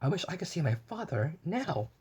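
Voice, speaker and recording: A man reading a storybook aloud, voicing a kind young woman in a soft, pleading voice that climbs high when she is upset. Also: sing-song, moderate pace, breathy edge, exaggerated voice.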